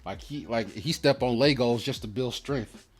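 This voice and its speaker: A man's voice: medium pitch, normal speed, background noise. A man hosting a card pack opening, rambling casually in a slangy, profane, opinionated way as he tells stories. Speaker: host opening trading card packs. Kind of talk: casual rambling commentary and storytelling.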